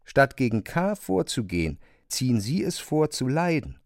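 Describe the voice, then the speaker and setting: A man narrates literary prose in a scripted radio drama. His mid-pitched voice moves widely up and down, and the sound is close and dry, with almost no room on it. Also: very animated, moderate pace, darkish tone, dry recording.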